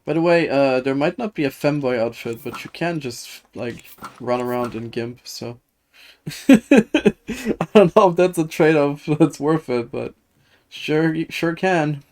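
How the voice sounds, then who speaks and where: Gravelly, wry game streamer. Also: mid-range voice, expressive, a little slow, a little mellow. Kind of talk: casual live game commentary, reading dialogue aloud. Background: faint game music.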